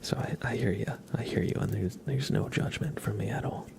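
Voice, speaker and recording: A young man hosting a relaxed ASMR livestream, mostly whispering and speaking softly in a reassuring, encouraging way. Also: on the low side, fairly quick, vocal fry. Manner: soft-spoken, mostly whispering. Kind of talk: relaxed ASMR, chatting with viewers and reading tarot cards.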